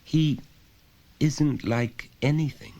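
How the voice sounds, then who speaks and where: Earnest little boy for an audio story, male narrator putting him on, quiet, stubborn, lifted in pitch. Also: steady tone, slow pace. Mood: quietly confident. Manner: simple, earnest, soft-spoken. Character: small, earnest, stubborn little boy, timid yet believing.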